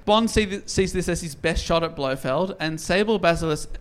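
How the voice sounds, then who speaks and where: Brief: male host, high voice, chatty comic recapper. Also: normal speed. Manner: jokey, laughs while telling. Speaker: podcast host recapping a novel. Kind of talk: casual comedic recap.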